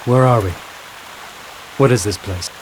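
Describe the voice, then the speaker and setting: An actor playing a wary, troubled warrior hero in a dramatic fantasy game cutscene. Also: male voice, medium pitch, unhurried, big-room sound, a little hiss or hum, lightly acted, poor audio. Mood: wary, questioning. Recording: loud scary music and rain behind. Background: loud music, rain.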